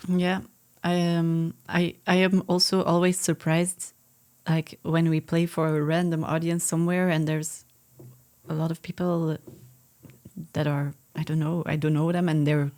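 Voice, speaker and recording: Dutch woman musician being interviewed, low flat voice, kinda hesitant. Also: unhurried. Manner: thoughtful, hesitant. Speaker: musician guest. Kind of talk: casual interview.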